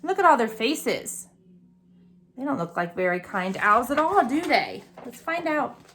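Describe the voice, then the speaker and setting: A woman's voice: on the high side, sing-song, a little slow, fairly bright tone, slight background noise. A woman reading a picture book aloud to young children, with chatty asides about the pictures and questions to the listeners. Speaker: storybook reader for preschool children.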